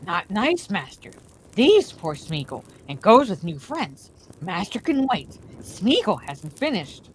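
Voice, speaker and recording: A woman voicing a wretched, sly male creature who hisses and whispers to himself in slow, wheedling words. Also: medium pitch, sing-song, rough recording. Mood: sulky. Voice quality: hissing, whispery.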